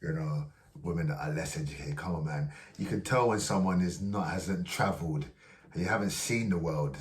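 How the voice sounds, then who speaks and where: A man hosting a vlog, commenting casually with hesitant pauses and many fillers. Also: low-pitched. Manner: hesitant, many ums and restarts. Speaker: vlog host reacting to clips. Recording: echoey room, voice from far off.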